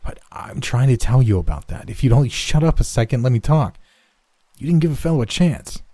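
A man's voice: fairly low pitch, brisk, mellow, husky, dry recording. A man voicing an anxious young boy in a story, urgent, pleading and easily exasperated.

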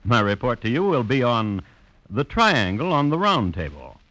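An actor playing a dry, deadpan crime chronicler narrating a 1950s radio show with measured storytelling. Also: male voice, medium pitch, no echo, poor audio. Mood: droll, deadpan. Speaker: host and narrator. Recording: hissy old radio broadcast.

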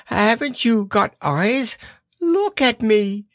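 A man's voice: high voice, steady tone, slow pace, gravelly, fairly bright tone, no echo, clean studio sound, pitched above the performer's own voice, full character voice. A man voicing a small, timid, anxious piglet in a storybook, jerky and indignant in his protests. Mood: desperate shouting. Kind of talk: storybook character reading.

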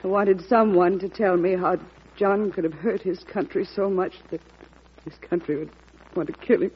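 A desperate, dignified wife. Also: female voice, fairly low pitch, steady tone, moderate pace, husky. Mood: pleading. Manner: earnest, pleading, restrained. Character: grieving wife of condemned man, dignified. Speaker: petitioner's wife. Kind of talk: 1950s radio drama acting. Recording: lo-fi old radio broadcast.

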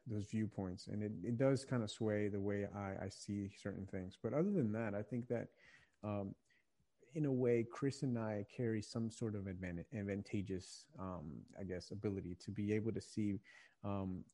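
A man's voice: lowish voice, fairly even intonation, normal speed, dark tone. A young man from Virginia, speaking as a guest in a conversational podcast interview, earnest and reflective, often restarting phrases as he searches for words. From Virginia, USA. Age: young adult. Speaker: podcast guest, young adult ministry leader.

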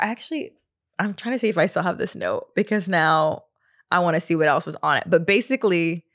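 A woman's voice: lowish voice, fairly bright tone. A woman, hosting her podcast in a casual solo chat, laughing often and trailing off with fillers. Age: 30s. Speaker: podcast host.

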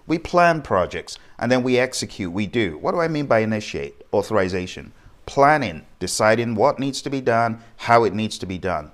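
Man teaching informally, talking straight to listeners. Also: mid-range voice. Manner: rhetorical questions, addressing the listener directly. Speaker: project management instructor. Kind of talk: informal educational lecture.

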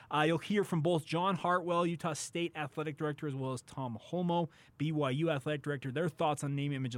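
A man's voice: fairly high pitch, a bit bright, no room sound. An American man hosting a sports radio show in a casual, conversational style. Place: radio studio.

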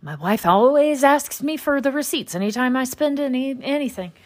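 Woman from utah telling a story, kinda high voice, dry deadpan humor, lots of ums. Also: normal speed. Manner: wry, deadpan storytelling with fillers. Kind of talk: casual storytelling conversation.

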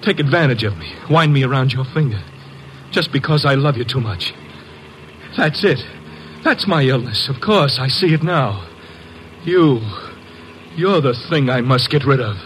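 A man's voice: medium pitch, expressive, moderate pace, a little hiss or hum, natural voice, rough recording. An actor playing an unstable young man, lovesick and paranoid, in a 1940s radio drama, pleading and then turning frantic. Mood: bitter.

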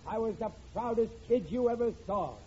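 A man's voice: very high-pitched, normal speed, warm tone. An actor playing a boastful, bumbling middle-aged father in an old radio sitcom, blustering and stammering when cornered. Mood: proud, boastful. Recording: muffled, hissy vintage radio recording.

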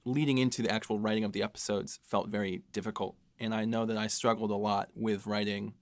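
Low-voiced man in a casual discussion. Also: steady tone, fast talker, no room sound. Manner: self-deprecating, joking. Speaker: podcast host and audio drama writer.

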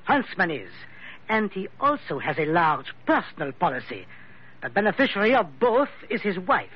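A suave French investigator in a vintage radio thriller. His voice is high and husky, with a French accent and formal, courteous phrasing that swoops up and down. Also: sing-song, moderate pace, heavily acted. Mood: explaining. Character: suave, suspicious Frenchman, insurance investigator with a pistol. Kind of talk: old-time radio drama acting. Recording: dull vintage radio recording.

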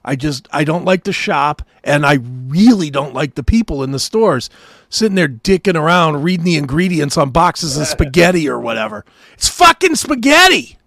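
A cantankerous American man chats and rants as a talk show co-host. His voice is on the high side with a heavy fry, recorded close and dry. He is blunt and swears freely. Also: big pitch swings, normal speed, a bit bright, dry recording. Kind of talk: casual chat, ranting.

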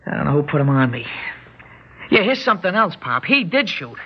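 Cocky young radio-play hoodlum, slangy tough talk, voice a bit high, dull old recording. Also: male voice, sing-song, a little fast, slight background noise. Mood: edgy. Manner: tough slangy street talk, barked threats. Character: cocky, jumpy young male gunman, crude small-time hoodlum. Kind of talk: old-time radio drama acting.

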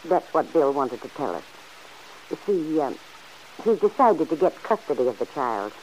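An actress playing a kindly, principled older aunt who chides gently and earnestly in an old-time radio serial. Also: female voice, low-pitched, sing-song, moderate pace, rough recording. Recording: hissy old radio recording.

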